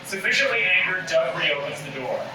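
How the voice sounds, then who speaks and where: A man's voice: high voice, animated, fairly quick. A man reading comic stage directions aloud as narrator at a table read, recorded in a room at a distance. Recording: echoey room, distant mic, noisy.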